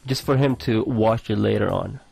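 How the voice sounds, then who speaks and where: A man co-hosting a morning radio show, laid-back, giving short relaxed replies in studio banter. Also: on the low side, moderate pace, vocal fry, breathy edge, faint music behind.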